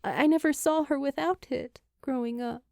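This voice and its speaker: A woman voicing an earnest, grieving teenage girl in an audiobook, halting and emotional as she speaks. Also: high voice, vocal fry, mellow, no echo, clean studio sound, voice pushed up. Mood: wistful, vulnerable. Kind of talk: acted dialogue in audiobook narration.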